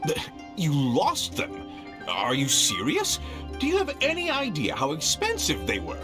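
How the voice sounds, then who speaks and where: Wildly animated, fussy merchant. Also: male voice, a little high, unhurried. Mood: shocked, exasperated. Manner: theatrical, polite turning exasperated. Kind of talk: video game voice acting. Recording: game dialogue under loud background music. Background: game music.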